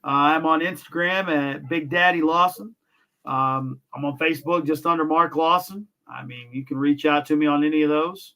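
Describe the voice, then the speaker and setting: A man's voice: on the high side, moderate pace, bright, forceful tone. A man co-hosting a casual sports podcast, talking emphatically and hedging his own predictions. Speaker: podcast co-host.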